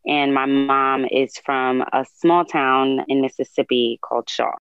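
A woman in her twenties from Brooklyn, a law student guest who speaks in a bright, wry way. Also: very deep voice, level tone, unhurried. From Brooklyn, New York. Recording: dry, dull lo-fi sound.

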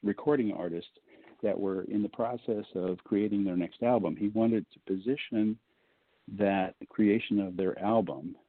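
A man with a low baritone voice, a guest on a call-in show, telling a story casually and joking along the way, with many fillers. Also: slightly rough, no echo. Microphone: phone. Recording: thin phone line.

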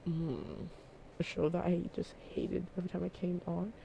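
A man's voice: high voice, little pitch movement, gravelly, warm tone, muffled recording, slight background noise. A young man from the Philippines co-hosting a casual chat podcast, playful and teasing. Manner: playful, teasing, quick to laugh. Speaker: podcast co-host.

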